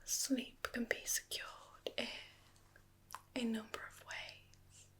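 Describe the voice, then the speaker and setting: Woman playing a forest witch, whispering, very slow, teasing, knowing, ASMR roleplay. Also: a little high, little pitch movement. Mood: knowing, coaxing. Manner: soft knowing whisper, coaxing with murmured questions.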